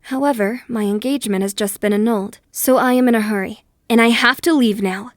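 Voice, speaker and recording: A woman's voice: on the high side, fairly quick, no echo, clean studio sound, lightly acted. A woman playing a composed, determined young noblewoman in an anime dub, speaking politely and reflectively. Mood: apologetic, formal. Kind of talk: anime dub acting with inner narration.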